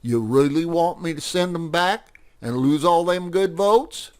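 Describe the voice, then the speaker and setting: A man plays a good-natured old farm horse in a storybook. He rambles along in a folksy, rustic way, with lively swings of pitch. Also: measured pace, clean tone. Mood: coaxing.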